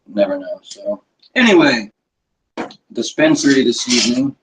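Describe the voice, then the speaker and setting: A middle-aged man with a sore throat chatting casually to viewers, clearing his throat often and swearing offhand. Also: mid-range voice, animated, moderate pace, a bit bright, slight background noise, rough recording. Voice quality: husky. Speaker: cannabis vlogger. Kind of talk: casual rambling chat to viewers.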